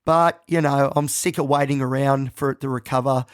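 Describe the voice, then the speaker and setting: An Australian man hosting his own podcast, talking casually and rambling with lots of ums, croaky and nasal from a head cold, self-deprecating about his voice. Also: medium pitch, steady tone, moderate pace, no echo, clean studio sound. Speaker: podcast host. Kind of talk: casual solo podcast monologue.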